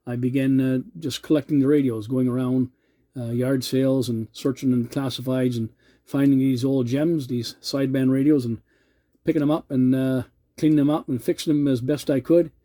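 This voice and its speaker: A man's voice: medium pitch, mellow. A middle-aged man from Canada chatting casually as a radio hobbyist, rambling a little and using radio lingo.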